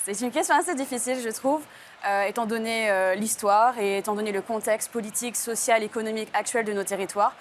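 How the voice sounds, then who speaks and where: A woman from French Guiana answers interview questions reflectively, choosing her words with care. Her voice sits somewhat high. A faint background noise lies under the recording. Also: brisk. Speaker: delegation member, interviewee.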